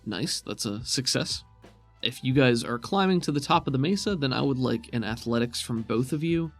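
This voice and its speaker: A man speaking as game master of a tabletop roleplaying game, describing scenes and talking rulings through conversationally, at a middling pitch. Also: lively intonation, moderate pace, soft background music.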